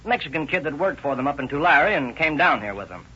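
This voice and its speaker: A chatty rural neighbor in an old radio drama. He rambles hesitantly and conversationally in a folksy way, with a bright edge. The recording is muffled. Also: fairly high pitch, very animated, quick pace, husky, heavily acted. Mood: gossipy, helpful. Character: rambling, gossipy male country neighbor witness. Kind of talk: 1930s radio drama acting.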